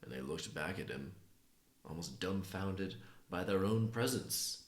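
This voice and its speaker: A man improvising a folktale, telling it haltingly with pauses between phrases in a gravelly, creaky voice. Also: deep voice, animated. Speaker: writer improvising a story. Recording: roomy, echoing sound.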